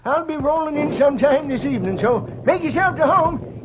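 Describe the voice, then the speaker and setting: An actor playing a crusty, folksy old American prospector with an exclamatory frontier drawl in a radio western. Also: male voice, very high-pitched, expressive, fairly quick. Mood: friendly, folksy. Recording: muffled, noisy vintage radio broadcast.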